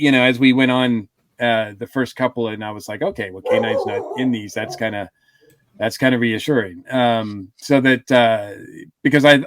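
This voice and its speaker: A man's voice: medium pitch, dry recording. A man co-hosting a casual podcast review, rambling with lots of fillers and restarts. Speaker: podcast co-host.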